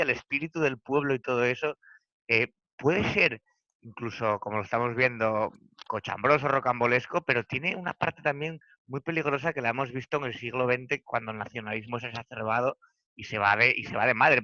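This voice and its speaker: A man hosting a relaxed conversation, his voice bright and forward. The sound is dry and a little dull, as from a plain close mic. He talks loosely and pauses on fillers. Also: medium pitch, no room sound. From Asturias, Spain. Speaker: host. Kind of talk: casual conversational interview.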